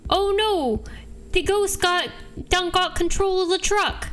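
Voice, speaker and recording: A woman voicing an excitable, folksy male ghost-hunting truck driver from a video game in a hammy, exclamatory way. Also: very high-pitched, slow, voice pushed up, full character voice. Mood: panicked, hammy. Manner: folksy, exclamatory, attempted Canadian accent.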